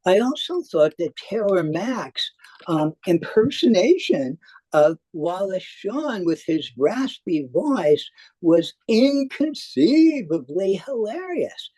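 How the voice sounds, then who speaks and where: Hesitant man reviewing theater, animated pitch. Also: no echo, low-quality recording. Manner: halting, with frequent hesitations. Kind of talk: semi-scripted spoken review.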